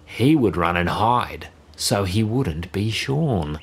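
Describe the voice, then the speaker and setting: A man reads a rhyming children's story in a low, slightly husky voice. His delivery is measured and expressive, with plenty of rise and fall, as a storybook narrator. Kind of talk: scripted rhyming children's story read-aloud.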